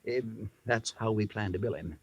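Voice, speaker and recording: A man's voice: on the low side, moderate pace, dry recording, muffled recording, lightly acted. An actor playing a pompous, shady animal-act showman in a 1950s radio drama, with grandiose, florid, emphatic delivery.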